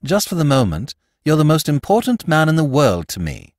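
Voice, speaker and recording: Man playing a suave, animated gentleman thief. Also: on the high side, brisk, no echo, studio quality, pitched above the performer's own voice, full character voice. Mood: brisk. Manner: articulate, teasing, assured.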